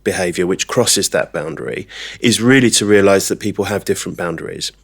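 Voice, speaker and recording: A man from England, a training company director, answering interview questions reflectively with many pauses. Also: deep voice, husky. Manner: reflective, many fillers and restarts.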